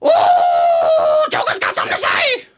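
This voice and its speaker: A man voicing a manic, theatrical clown supervillain in a comedy parody, with a shrill, gravelly cackle, taunting and talking about himself in the third person. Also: huge pitch swings, unhurried, a bit bright, shouting, exaggerated voice. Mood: defiant glee. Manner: exclaims theatrically, talks of self in third person.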